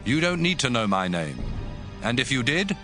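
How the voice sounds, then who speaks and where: A man voicing the Lord's angel, measured and solemn, in a dramatized Bible reading. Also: mid-range voice, very animated, music in the background, lightly acted.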